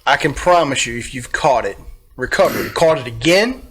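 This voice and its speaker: A man's voice: mid-range voice, expressive, moderate pace, a bit bright, breathy edge. A man co-hosting a casual podcast chat, who talks in a blunt and skeptical way. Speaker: podcast co-host.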